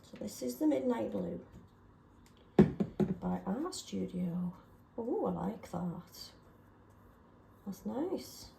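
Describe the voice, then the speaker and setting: A woman chatting casually and self-deprecatingly while testing paint colours, slightly hoarse from a sore throat. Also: mid-range voice, sing-song, slow pace, pauses often, roomy sound, noisy background. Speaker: craft vlogger.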